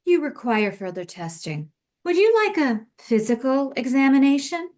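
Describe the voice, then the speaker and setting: A woman playing a brisk, commanding nurse in an audio play, deadpan, clipped and formal. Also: sits high. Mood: coolly suggestive.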